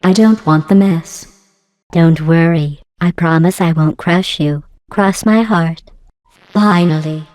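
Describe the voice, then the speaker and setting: A woman voicing a passive-aggressive female artificial intelligence in a video game, deadpan and mock-sweet, with synthetic robotic processing. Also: fairly low pitch, slow pace, in a large hall. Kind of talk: scripted video game dialogue.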